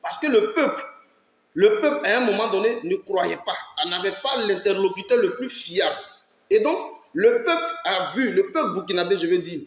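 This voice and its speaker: High-voiced, emphatic man. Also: a bit bright. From Ivory Coast. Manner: emphatic, rhetorical questions, urges listeners to listen. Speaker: political commentator hosting his channel. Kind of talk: impassioned political commentary. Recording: roomy echo.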